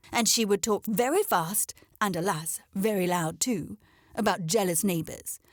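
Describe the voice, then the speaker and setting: A woman reading a scripted children's story aloud as an expressive narrator. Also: fairly high pitch, no room sound, clean studio sound.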